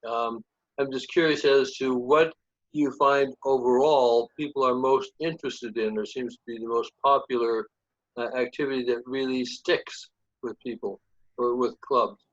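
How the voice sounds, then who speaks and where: A man's voice: medium pitch, fairly even intonation, a little slow, no room sound, highs cut off. A man politely asking a question during an online meeting. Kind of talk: polite question on a video call.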